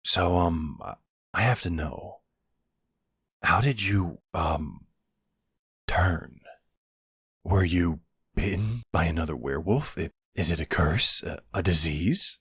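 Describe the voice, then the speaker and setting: A man playing a supportive, puzzled boyfriend in an intimate audio roleplay, speaking in a hesitant, gently questioning way with a husky voice. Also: sits low, slow pace, pauses often, no echo, clean studio sound, full character voice. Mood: gentle concern.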